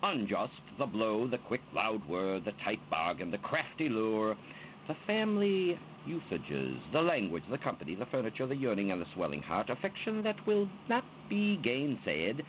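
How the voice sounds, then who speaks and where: A man giving an expressive, dramatic poetry recitation in a theatrical manner, drawing out his words. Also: lowish voice, moderate pace, slight background noise, low-quality recording. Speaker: reader of poetry.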